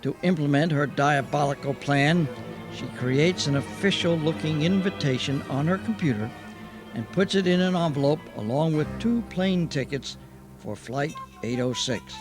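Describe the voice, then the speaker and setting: A man's voice: fairly high pitch, normal speed, music in the background. A man giving scripted narration as the narrator of an old-time radio drama.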